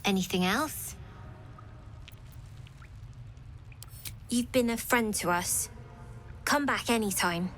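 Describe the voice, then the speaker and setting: A woman's voice: mid-range voice, a little slow, fairly bright tone, faint music behind, natural voice. A woman voicing a brash, businesslike young girl who leads child thieves in a video game. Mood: friendly, brisk. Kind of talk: video game dialogue acting.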